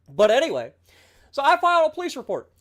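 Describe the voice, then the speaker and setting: A man's voice: very high voice, wildly swooping pitch, moderate pace, vocal fry, a bit bright. A man in his late thirties, a game streamer, talking through a heated, sarcastic, heavily profane rant on his livestream. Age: 30s.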